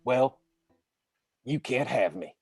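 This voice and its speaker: A man's voice: mid-range voice, expressive, slow pace, creaky, a little mellow, faint music behind. A man playing a pompous, melodramatic hero with a lightsaber in a comic radio play, declaiming in mock-heroic style. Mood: wounded pride.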